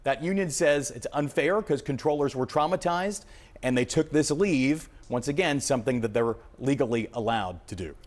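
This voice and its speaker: An American man delivers a live news report as an aviation correspondent. His pitch moves in lively swings as he explains things. His phrasing is punchy and emphatic. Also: moderate pace.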